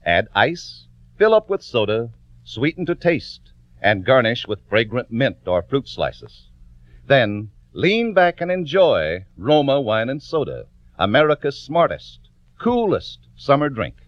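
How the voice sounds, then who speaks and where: A male announcer selling emphatically in 1940s radio style. His mid-pitched delivery is extremely animated, on a dulled vintage recording. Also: a little slow, no room sound. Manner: polished, emphatic salesmanship. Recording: dry, muffled old radio recording.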